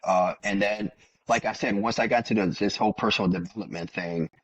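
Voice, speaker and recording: A man's voice: lowish voice, a little fast, fairly bright tone, husky, lo-fi sound. A man in his thirties, a podcast guest, telling his story in casual chat and often repeating words. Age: 30s. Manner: repeats words often, tells stories plainly.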